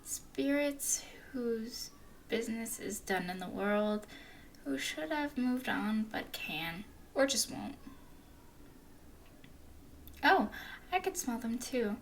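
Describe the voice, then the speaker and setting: A woman voicing a flirty, teasing female werewolf explorer in an ASMR roleplay, speaking in a soft whisper with a playful drawl. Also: on the high side, animated, slow pace, vocal fry, long pauses, a little hiss or hum, exaggerated voice.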